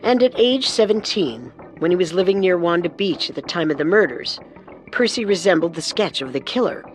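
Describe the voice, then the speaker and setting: A woman co-hosting a scripted true crime podcast with dramatic, deliberate delivery. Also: lowish voice, expressive, faint music behind, poor audio. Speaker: podcast co-host and narrator.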